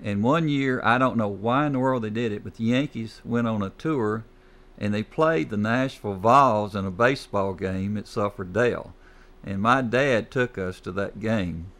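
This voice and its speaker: An older man and grandfather reminiscing slowly about baseball in a casual talk, acting as the host. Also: lowish voice, fairly bright tone. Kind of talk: casual chat.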